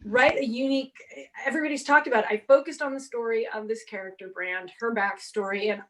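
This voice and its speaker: A woman in her forties whose first language is Portuguese, telling an animated story on a convention panel and acting out the exchanges she quotes. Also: a little high, fast, low-quality recording. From half Brazilian, grew up speaking Portuguese. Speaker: panelist author. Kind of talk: casual panel talk.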